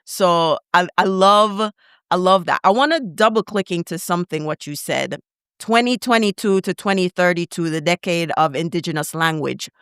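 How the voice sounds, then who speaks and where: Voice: mid-range voice, normal speed, a bit bright, clean tone, dry recording, clean studio sound. A podcast host chatting enthusiastically and asking a guest questions. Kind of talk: casual interview talk.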